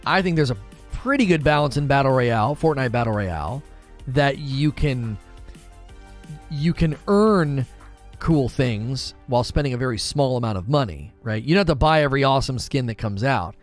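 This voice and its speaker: A man in his forties hosting a casual gaming livestream, musing aloud in a relaxed, conversational way as he answers chat. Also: medium pitch, normal speed, faint music behind. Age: 40s. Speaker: gaming channel host reading live chat.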